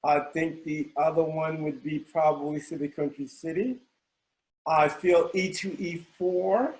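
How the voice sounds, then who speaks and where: A man's voice: fairly high pitch, a little slow, a little mellow. A man chatting casually as a guest, thinking aloud with a hesitant, thoughtful delivery, on roomy, echoing live-stream audio. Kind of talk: casual chat.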